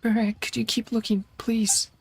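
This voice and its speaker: A woman's voice: fairly high pitch, little pitch movement, normal speed, slightly rough. A woman voicing an earnest, loyal and worried character in a fantasy game, with a concerned, pleading manner.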